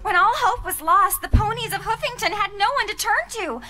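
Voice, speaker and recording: A woman's voice: very high voice, a little fast, bright, forceful tone. A woman voicing a boastful young female unicorn stage magician who grandly talks about herself in the third person, in a live cartoon script reading.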